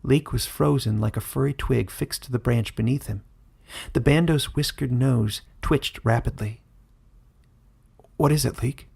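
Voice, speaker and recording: A man narrates his own fantasy story at a steady storytelling pace. His voice is gravelly and husky. It sits at a middle pitch. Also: normal speed. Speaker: author and narrator.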